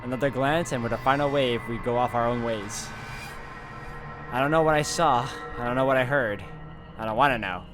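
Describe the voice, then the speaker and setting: A man reads a visual novel aloud as a gaming YouTuber, mid-pitched and dramatic. He drops in casual asides, and guitar game music plays loudly behind him. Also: fairly quick. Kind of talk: reading visual novel narration aloud in a let's play.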